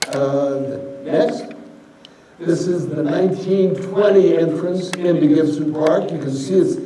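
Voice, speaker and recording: An older man from Montana giving a rambling, folksy slide-show history talk, a little hoarse from a cold. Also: fairly high pitch, takes it slowly, mellow, a little hiss or hum. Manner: folksy, rambling, many fillers. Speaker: local history presenter. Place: lecture hall. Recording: echoey hall, from far off.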